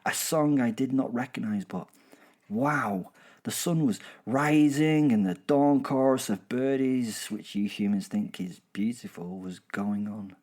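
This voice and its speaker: A man playing a sentimental werewolf killer, telling his story in a halting, dramatic way with repeated words and pauses, for a horror audio drama. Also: mid-range voice, darkish tone, lightly acted. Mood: wonder, wry. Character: male werewolf killer, sentimental, menacing, wryly wistful. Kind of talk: dramatic first-person storytelling.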